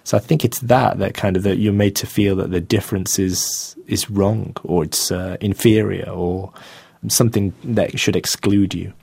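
Interview guest: thirties man, Manchester accent, low-pitched, halting, dry close sound. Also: fairly even intonation, gravelly, darkish tone, breathy edge, no room sound. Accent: Manchester English. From England. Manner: hesitant, with many fillers and restarts, laughing often. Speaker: guest artist. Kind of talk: casual interview chat.

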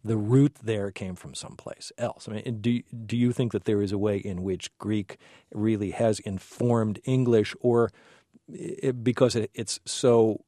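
A male host with a fairly low voice. He asks long, meandering questions, circling back and restarting as he thinks. The recording is dry and close. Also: fairly even intonation, measured pace, warm tone, no echo. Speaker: interviewer host. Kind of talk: conversational interview.

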